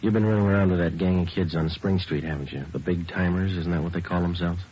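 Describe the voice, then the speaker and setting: An actor playing a terse, matter-of-fact police detective sergeant in a 1940s radio drama, speaking in a clipped, flat manner. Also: male voice, sits low, fast, breathy edge. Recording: hissy muffled old radio broadcast.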